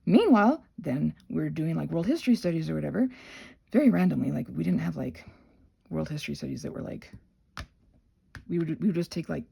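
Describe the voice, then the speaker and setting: Female host, sick, creaky and slightly dark tone; casual, unscripted, halting delivery that keeps correcting itself. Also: low voice, very animated. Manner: rambling, halting, self-correcting with many trailing restarts. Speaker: host of a rambling audio channel.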